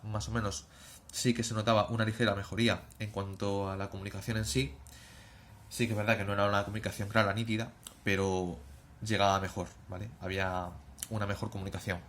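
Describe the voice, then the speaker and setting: A man's voice: on the low side, little pitch movement, quick pace. A man with an accent from Spain giving an informal, didactic explanation to viewers, often checking in with short tag questions. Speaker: prepper video presenter.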